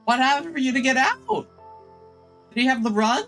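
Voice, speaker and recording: A woman's voice: high voice, sing-song, normal speed. A woman gaming streamer giving casual, reactive commentary with exclamations. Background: faint game music.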